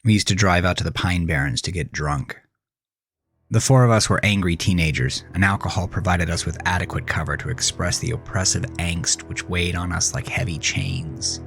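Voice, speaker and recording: An actor playing a jaded man who looks back on his angry teenage years in a brooding, confessional horror monologue with halting pauses. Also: sits low, gravelly, a bit bright, husky, no echo, heavily acted. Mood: brooding, bitter. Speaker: narrator of a horror audio drama. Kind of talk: first-person scripted horror narration, dramatic monologue. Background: music.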